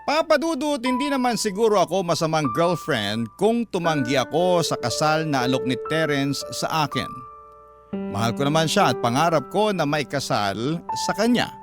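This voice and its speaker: A man's voice: a little high, close to an everyday voice. A man reading a letter as scripted first-person love story narration on radio. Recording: music bed behind voice. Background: instrumental music.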